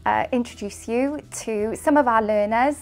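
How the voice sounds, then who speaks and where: Woman, course coordinator, higher voice, relaxed explainer tone, music bed. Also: normal speed. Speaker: curriculum development coordinator. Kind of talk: promotional talk.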